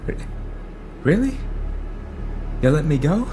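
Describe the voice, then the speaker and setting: A man's voice: on the high side, very animated, slow, noisy background. A man playing a frightened getaway driver in an audio drama, stammering and pleading in panic. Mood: terrified, pleading. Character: terrified, pleading male getaway driver, a father. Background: music.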